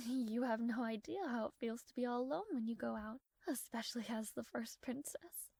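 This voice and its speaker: Woman acting as a high-voiced, haughty young princess. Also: normal speed, creaky, darkish tone, breathy edge, dry recording. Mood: softly vulnerable. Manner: haughty, formal, flustered hesitations. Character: proud, strong-willed tsundere young princess, guarded but fond. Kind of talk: scripted romantic audio roleplay addressed to the listener.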